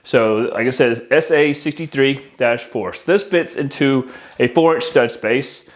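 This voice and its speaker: A man's voice: medium pitch, a little slow. An American man in his forties giving a casual, conversational product walkthrough to camera as an installer who addresses his viewers directly. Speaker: audio-video installer presenting products. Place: indoor room with decorated wall, at a worktable.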